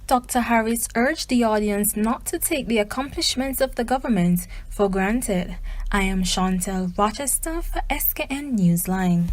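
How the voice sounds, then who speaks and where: Mid-pitched female news reporter. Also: lively intonation, unhurried, slight background noise. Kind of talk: scripted news report narration.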